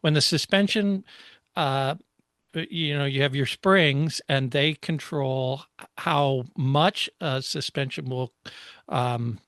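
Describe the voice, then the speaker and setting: A man's voice: medium pitch, takes it slowly, fairly bright tone, clear, resonant tone, dry recording. Explanatory man with a close, dry sound. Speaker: co-host explaining motorsport technology. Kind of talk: casual technical discussion.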